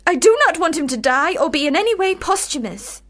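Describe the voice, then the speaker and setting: High, swooping, prim young girl. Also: sing-song, fairly quick, fairly bright tone. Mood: pleading. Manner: prim, formal, wheedling, childlike. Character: young Charlotte Bronte, precocious earnest girl, older sister. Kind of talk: radio drama acting.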